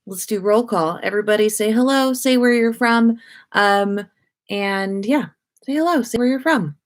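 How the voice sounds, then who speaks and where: A woman's voice: fairly high pitch, moderate pace. A woman hosting a casual livestream, chatting informally and greeting her listeners. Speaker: livestream host and podcaster.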